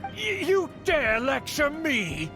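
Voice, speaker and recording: A man's voice: extremely high pitch, huge pitch swings, slow pace, exaggerated voice. An actor playing a haughty older nobleman who scolds his niece in a pompous manner, with game music behind. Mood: outraged. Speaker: video game character.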